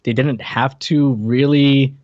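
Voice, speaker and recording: A man's voice: mid-range voice, steady tone, takes it slowly. A man co-hosting a podcast, chatting casually with a wry, joking manner about a show. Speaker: podcast co-host. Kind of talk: casual conversational show commentary. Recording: roomy, slightly dull lo-fi audio.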